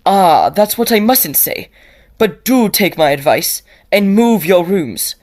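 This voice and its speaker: A woman playing an earnest, anxious young Victorian gentleman student in an audio drama, with formal, old-fashioned phrasing. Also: medium pitch, expressive, takes it slowly. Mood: grave warning.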